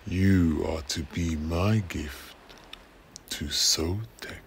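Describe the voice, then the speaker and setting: Smug adventurer captain male actor, low-pitched, slow and taunting, faint noise behind, for fantasy audio drama. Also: creaky, heavily acted. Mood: smug calm. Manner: measured, taunting, repeats words. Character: smug, coolly confident male explorer captain. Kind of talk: fantasy audio play acting.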